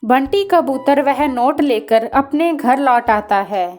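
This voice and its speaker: A woman's voice: sits high, slow pace, a little mellow, faint music behind. A woman narrating a scripted children's story.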